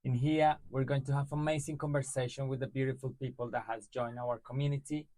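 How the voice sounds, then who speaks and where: A man hosting a podcast interview, friendly and halting, restarting his questions. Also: medium pitch, level tone, mellow, no echo. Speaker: podcast host. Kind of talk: casual interview.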